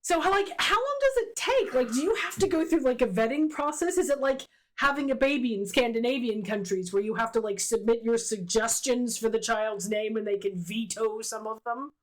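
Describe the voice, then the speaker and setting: A woman's voice: fairly high pitch, lively intonation, a little fast, few pauses. A woman hosting a casual livestream interview, playful and effusive, often echoing phrases. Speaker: interview host.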